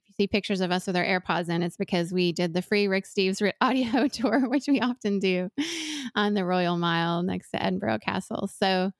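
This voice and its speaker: A woman's voice: mid-range voice, steady tone, moderate pace, dry recording, clean studio sound. A woman in her forties who grew up in Venezuela, talking earnestly and thoughtfully as an interview guest, often restarting her sentences. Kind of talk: reflective interview conversation.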